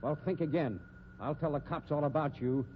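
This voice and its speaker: A man's voice: on the high side, moderate pace, lightly acted. An actor playing a shady, hot-tempered carnival showman with an American accent and slangy, blustering talk in a 1950s radio drama. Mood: angry, threatening. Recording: dull, noisy 1950s radio recording.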